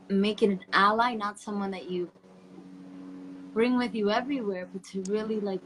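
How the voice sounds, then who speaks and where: A woman's voice: fairly low pitch, slight background noise, poor audio. A woman with a Spanish accent hosting a casual online interview, effusive and affectionate, with spiritual wording. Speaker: interview host.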